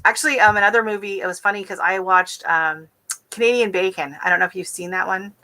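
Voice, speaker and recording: A woman's voice: mid-range voice, fast, sharp tone, poor audio. An American woman from Texas chatting casually on her gardening live stream, rambling with lots of fillers. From Texas, USA. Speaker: gardening channel host.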